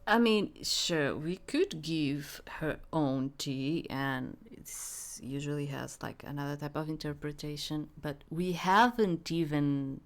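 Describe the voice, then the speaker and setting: A woman's voice: sits low, takes it slowly, hardly any pauses. A woman giving casual, rambling commentary as she plays a game, hesitant and often trailing off. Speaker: let's play host. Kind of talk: casual gameplay commentary with read-aloud dialogue.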